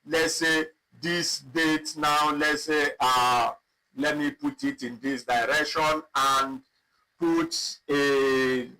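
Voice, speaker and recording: A man teaching a live online spreadsheet lesson, talking slowly and repeating himself as he clicks through data. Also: fairly high pitch, pushed and bright, poor audio. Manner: slow, repetitive, thinking aloud while clicking. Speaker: instructor. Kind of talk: live online software tutorial.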